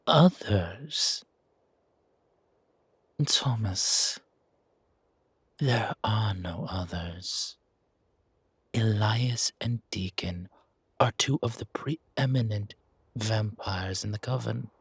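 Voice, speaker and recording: Casting: male actor as condescending gentleman leader for audio drama, very raspy and low, measured, with veiled threat. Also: no room sound, full character voice. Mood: persuading. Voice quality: husky, gravelly. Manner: measured, condescending, softly chiding. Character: older-mannered founder, genteel, patronizing, quietly menacing man. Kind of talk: audio roleplay drama acting.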